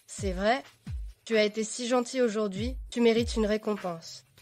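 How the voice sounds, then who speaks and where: A woman plays a brisk young bride, practical and proud, with a slightly high voice. She speaks matter-of-factly over faint music. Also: darkish tone, natural voice.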